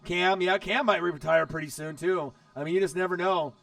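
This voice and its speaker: A man hosting a casual live stream while watching football, chatty and excitable, his voice hoarse from losing it. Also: high-pitched, normal speed, recorded from a distance. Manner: excitable, chatty, talks straight to viewers.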